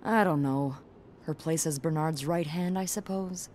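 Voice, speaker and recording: A sassy, gossipy young hotel receptionist in a story-driven video game. Her voice sits low for a woman, with a wry, sarcastic, blunt conversational delivery and faint noise behind it. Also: measured pace, darkish tone. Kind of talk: natural conversational game acting.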